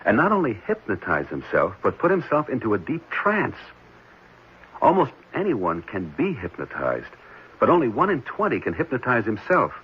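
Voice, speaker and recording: A man playing an earnest, intense enthusiast with a husky voice and emphatic, urgent delivery in a 1970s radio drama. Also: medium pitch, wildly swooping pitch, normal speed, exaggerated voice. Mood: amazed, earnest. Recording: muffled old radio broadcast.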